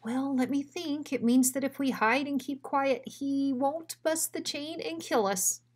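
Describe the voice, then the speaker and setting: A woman's voice: high-pitched, a little slow, voice pushed up. A woman voicing a small, timid male dog sidekick in a storybook, nervous, hesitant and naive. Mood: anxious.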